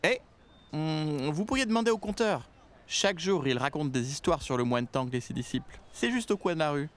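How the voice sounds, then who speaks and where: An obliging market trader, acted by a man, chatty in manner. The voice is on the higher side for a man, played for an animated folktale. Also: normal speed, lightly acted. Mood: chatty, helpful. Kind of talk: animated film dub acting.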